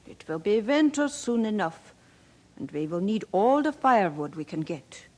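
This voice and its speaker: A woman's voice: mid-range voice, sing-song, normal speed. A woman acting in a radio drama as a stern, industrious mother of a poor family, commanding and formal in phrasing. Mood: brisk, businesslike.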